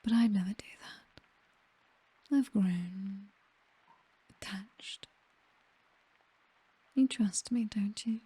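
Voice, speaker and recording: A woman with a British accent playing a possessive, seductive vampire mistress in a whispered, breathy ASMR roleplay, doting yet condescending and coolly menacing. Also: medium pitch, extremely slow, pauses often, dark tone, no echo, exaggerated voice.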